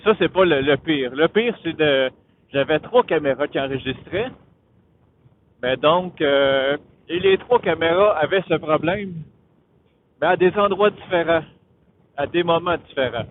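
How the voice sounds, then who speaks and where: Quebec man on a tinny intercom. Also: fairly high pitch. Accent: Quebec French. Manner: hesitant, halting, thinking aloud. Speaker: motovlogger, host of his own channel. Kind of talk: casual tech explainer vlog while riding. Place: on a motorcycle outdoors. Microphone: Bluetooth helmet intercom. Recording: muffled phone-like Bluetooth intercom, riding noise.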